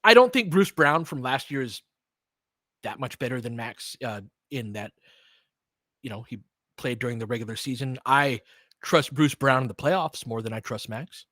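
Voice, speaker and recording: A man hosts a live basketball audio show in a loose, conversational style. He gives opinions and addresses listeners directly in informal, slangy phrasing. His mid-pitched voice is recorded dry, with very little room sound. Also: lively intonation, normal speed, bright, forceful tone, no echo. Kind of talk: casual live sports discussion with loose play-by-play.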